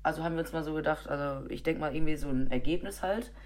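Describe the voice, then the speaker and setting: Woman with a low voice and a cold, rambling football podcast chat, lots of ums. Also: racing, darkish tone. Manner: rambling, many fillers and restarts. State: has a cold. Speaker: podcast co-host.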